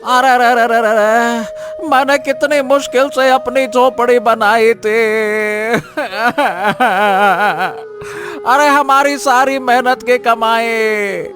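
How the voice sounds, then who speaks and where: A man voicing a poor, despairing villager in a moral-story cartoon, wailing in a drawn-out lament. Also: music in the background, exaggerated voice. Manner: drawn-out, high-pitched sobbing lament. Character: wailing poor villager whose hut is wrecked.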